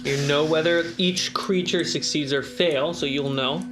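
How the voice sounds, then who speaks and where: A man's voice: on the high side, steady tone, measured pace. A man acting as game master, casually refereeing a tabletop roleplaying game. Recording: music playing behind the voice.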